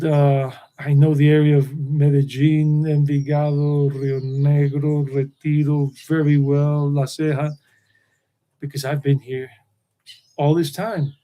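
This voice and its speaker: A man's voice: a little high, mellow. A middle-aged man hosting a live stream, rambling and repeating himself as he talks. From United States. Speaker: tour guide and channel host.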